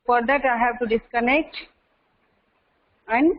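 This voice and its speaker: A woman's voice: fairly high pitch, a little slow. A woman teacher with an Indian English accent, leading an online class and giving instructions, often checking in with "okay". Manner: instructive, repeats okay and listen. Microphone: video call. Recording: muffled online call with faint noise.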